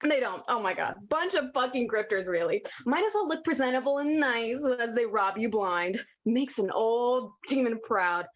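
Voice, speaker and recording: A cynical old demon played by a woman grumbles through a stage monologue about humankind. The voice is rather high, and the delivery is offhand, sardonic and scornful. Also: lively intonation, normal speed, hardly any pauses. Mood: jaded, scornful. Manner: casual, sardonic, sweary. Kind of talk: theatrical monologue in casual speech.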